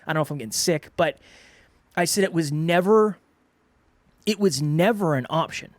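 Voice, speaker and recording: Earnest, congested male podcast co-host, higher-pitched voice, dry close-mic sound. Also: moderate pace, no room sound. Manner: earnest, repeats himself while making a point. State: congested, sore throat. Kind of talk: casual podcast chat.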